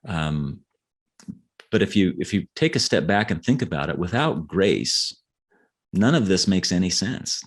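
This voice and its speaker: A man's voice: low voice, sing-song, unhurried. A man talking as an author in a conversational podcast interview, earnest and explanatory, often restarting his phrases.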